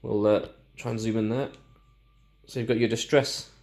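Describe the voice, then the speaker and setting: A man's voice: lowish voice, fairly even intonation, moderate pace, gravelly, a little mellow. A British man informally explaining and showing items in a casual unboxing review. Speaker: product reviewer.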